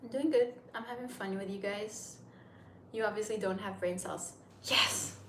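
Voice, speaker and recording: A woman's voice: mid-range voice, normal speed. A 30-year-old woman from Turkey, a native Turkish speaker, hosts a casual livestream in English, rambling chattily as she answers viewers. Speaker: livestream host. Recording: echoey room with faint noise.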